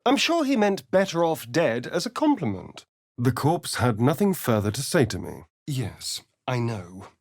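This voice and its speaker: A vain, sly vampire spawn for a video game. His delivery is slow and swoops widely in pitch, every phrase arch and sardonic. The sound is close and dry, giving his theatrical drawl full play. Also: very animated, dry recording. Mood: hushed, dramatic, then irritated, bored. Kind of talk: video game character voice lines.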